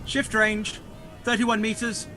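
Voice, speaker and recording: A man's voice: sits high, completely flat delivery, slow pace, faint music behind, heavily acted. An actor playing an artillery officer barking clipped orders to his gun crew in a radio play. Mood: commanding. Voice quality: clipped shouted commands.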